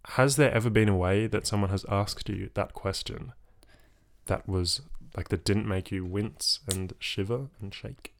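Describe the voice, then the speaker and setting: A man's voice: lowish voice, measured pace. A young man with an Australian accent, hosting a casual talk in an earnest, rambling way. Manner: earnest, rambling, heavy use of like. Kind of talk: casual conversation.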